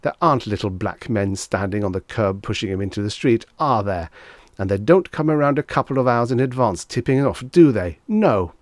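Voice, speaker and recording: A man's voice: fairly low pitch, expressive, moderate pace, dry recording, close to an everyday voice. A man voicing a brash, sarcastic, easily rattled man in a dramatised story reading, with blustering, exclamatory delivery. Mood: scornful, triumphant.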